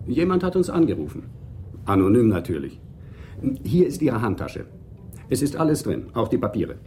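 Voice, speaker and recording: A police official played by a male actor gives facts in a matter-of-fact way. The mid-pitched voice is mellow and varied in melody, over a faint rumble, as in a radio play. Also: big pitch swings, normal speed, lightly acted. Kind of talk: radio drama acting.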